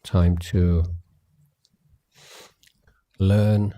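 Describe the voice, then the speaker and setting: A man guiding a meditation as a teacher, speaking gently and in a measured way with long pauses. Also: deep voice, flat delivery. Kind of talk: guided meditation talk at a retreat.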